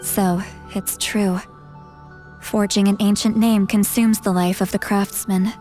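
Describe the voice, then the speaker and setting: A woman's voice: mid-range voice, level tone, a little slow, dark tone, faint music behind, close to an everyday voice. A woman voicing a worried, candid female shaman in a video game, with a hesitant, casual way of talking. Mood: wary. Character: uneasy, worried female shaman with secret foresight.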